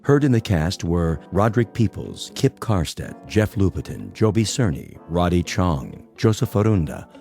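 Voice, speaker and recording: A man's voice: sits low, animated, moderate pace, few pauses, warm tone, faint music behind. A man reading scripted radio drama credits as an announcer.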